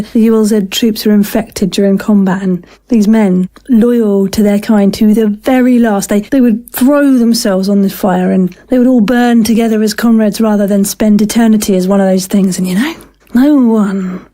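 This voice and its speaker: A woman playing a cold, zealous war-veteran doctor in an audio drama, speaking in a halting, pointed, condescending way. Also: mid-range voice, mellow, lightly acted.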